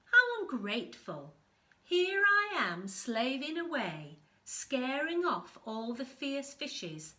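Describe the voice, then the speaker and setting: A woman's voice: high voice, sing-song, slow, sharp tone, full character voice. A woman reading a children's storybook as an indignant, huffy sea anemone, affronted and sorry for itself. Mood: offended, huffy. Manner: affronted, self-pitying. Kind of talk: children's storybook read-aloud.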